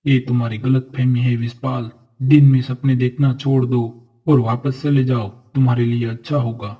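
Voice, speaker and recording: A man acting a noble, earnest figure of a fairy-tale royal court, speaking formally and solemnly in an animated story. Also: medium pitch, normal speed, husky, dull audio, lightly acted. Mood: firm, defiant.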